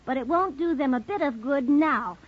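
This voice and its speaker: A vintage radio play with a pert young sweetheart. Her voice is high and perky, with a teasing manner. The recording is dull. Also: animated, moderate pace. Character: perky, teasing young college-era girlfriend. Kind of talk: 1940s radio drama acting.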